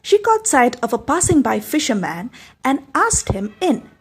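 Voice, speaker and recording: A woman narrating a scripted folktale as a storyteller, in an expressive, dramatic manner. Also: high voice, measured pace, husky. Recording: music behind the narration.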